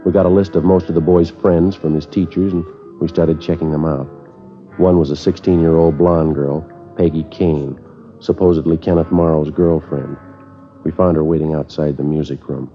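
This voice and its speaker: An actor playing a methodical police sergeant who narrates and questions witnesses in a clipped, matter-of-fact way, in 1950s radio drama style. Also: male voice, extremely low pitch, fairly even intonation, normal speed. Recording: dull old radio broadcast with music.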